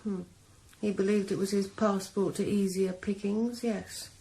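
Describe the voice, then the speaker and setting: An actress playing a young woman killer calmly confessing her revenge in a 1980s radio drama. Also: mid-range voice, natural voice, rough recording. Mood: cold confessing. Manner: calm, measured, quietly cold. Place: sauna.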